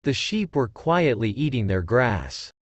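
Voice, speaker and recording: A man's voice: mid-range voice, big pitch swings, unhurried, no room sound. A man narrating a scripted story for children.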